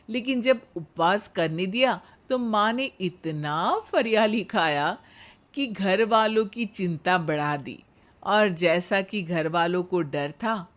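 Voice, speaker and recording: Bedtime-story narrator: medium pitch, unhurried pace, scripted storytelling delivery. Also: a bit bright.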